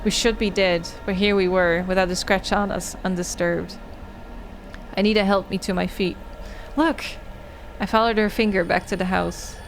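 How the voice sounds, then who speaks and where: A woman game streamer on a livestream playthrough, reading and talking through a visual novel in an expressive storytelling way. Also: mid-range voice, moderate pace, noisy background.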